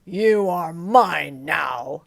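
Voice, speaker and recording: Woman playing a slow, creaky, bullying showman. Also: deep voice, animated, no echo, studio quality, heavily acted. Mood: menacing. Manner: possessive, commanding. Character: large, greedy, bullying male puppet-show owner.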